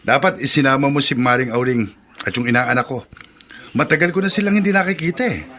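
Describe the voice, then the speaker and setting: A man with a Filipino accent playing an expressive repair shop owner and family man in a radio drama. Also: medium pitch, fast. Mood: jovial. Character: friendly auto repair shop owner, wronged husband and father.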